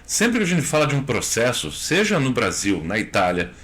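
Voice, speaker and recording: Brazilian man, thirties, lawyer guest, measured explanations, steady pace. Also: mid-range voice. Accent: Brazilian Portuguese. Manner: measured, explains step by step with frequent "né". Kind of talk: explanatory interview answers.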